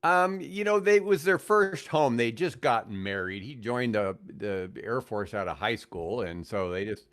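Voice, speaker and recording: A man's voice: medium pitch, animated, moderate pace, few pauses, a bit bright. A man in his sixties with an American accent, chatting casually and telling stories as a podcast guest, with a wry, self-mocking, joking manner. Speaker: podcast guest, veteran radio host and guitarist. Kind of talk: casual storytelling chat.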